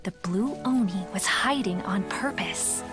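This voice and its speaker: This is a calm storyteller, voiced by a woman in a video game, telling an old folk tale. Her pitch is at an ordinary level, and game music plays clearly behind her. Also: measured pace, natural voice. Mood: storytelling. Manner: calm, warm, once-upon-a-time telling.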